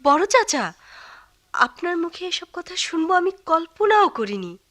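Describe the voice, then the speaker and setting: An actress playing a firm, proud young orphaned daughter in a radio play, earnest and reproachful. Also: female voice, extremely high pitch, sing-song, unhurried, a bit bright, heavily acted. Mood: hurt, pleading. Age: young adult. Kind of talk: Bengali radio play acting.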